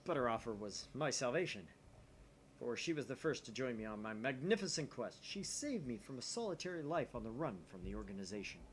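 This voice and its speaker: A man voicing a self-important, excitable young mad scientist in a visual novel, with dramatic delivery. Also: mid-range voice, fairly quick, slight background noise, close to an everyday voice, rough recording. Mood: grandiose. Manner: grandiose, dramatic, stammering when alarmed. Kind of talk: visual novel dialogue acting.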